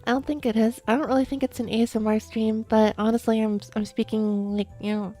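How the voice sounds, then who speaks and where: A sleepy woman chats to her stream in a soft, hushed voice that sits a little high. Her tone is mellow and relaxed, drifting with fillers and restarts. Faint music plays under her. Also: brisk. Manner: soft, quiet, drowsy talk with fillers. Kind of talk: sleepy late-night chat stream.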